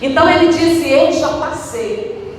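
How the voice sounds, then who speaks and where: A woman pastor preaches in a slightly high voice, stressing her words with sudden swings in pitch and tempo. Her voice rings through a big, echoey church with a long reverb. She stands well back from the microphone, so she sounds distant. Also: measured pace, a little hiss or hum. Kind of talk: sermon.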